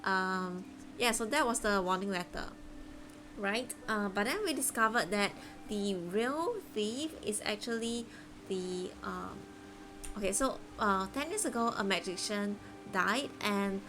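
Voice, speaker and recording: A woman's voice: medium pitch, lively intonation, a little slow, noisy background. A woman chatting casually as she livestreams a game, rambling and hesitant as she explains things. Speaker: streamer playing a story game.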